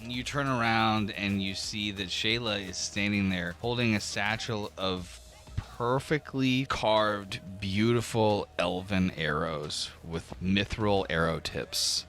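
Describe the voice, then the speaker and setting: A man's voice: fairly low pitch, takes it slowly, fairly bright tone. A man narrating a tabletop fantasy game as game master, in a casual manner. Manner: casual, swearing.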